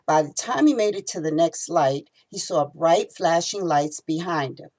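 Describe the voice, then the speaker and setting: A woman reading her own novel aloud in expressive storytelling narration. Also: low voice, moderate pace, poor audio.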